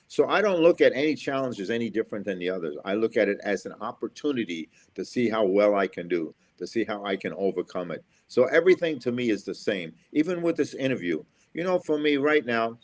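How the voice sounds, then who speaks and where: A middle-aged American man from Florida, an engineer and school speaker, giving upbeat, motivational interview answers and repeating his key phrases. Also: on the low side. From USA, Florida.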